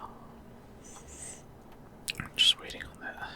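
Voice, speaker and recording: Male ASMR gamer, fully whispered and breathy, hesitant, off-the-cuff commentary. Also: slow, bright, forceful tone. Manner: casual, rambling, hesitant. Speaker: gaming ASMR creator.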